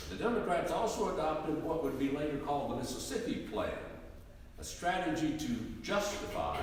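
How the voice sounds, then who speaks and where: A man narrating history from a script in a live dramatic reading, deliberate and theatrically emphatic. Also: medium pitch. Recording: echoey hall, distant mic, faint noise.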